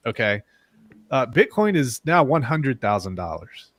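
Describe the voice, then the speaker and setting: A man's voice: medium pitch, very animated, measured pace, a bit bright, no room sound, studio quality. A man, a guest on a crypto livestream, talking casually and speculating, with frequent restarts. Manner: rambling, speculative, many restarts. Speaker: guest, crypto project builder. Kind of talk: casual crypto podcast chat.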